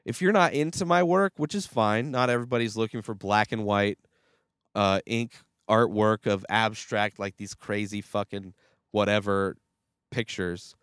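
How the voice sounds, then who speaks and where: A man's voice: fairly low pitch, steady tone, moderate pace, a bit bright, smooth, dry recording, studio quality. A man hosting his own movie review podcast, talking casually with a stuffy, nasal voice from a cold, rambling and swearing with lots of fillers and restarts.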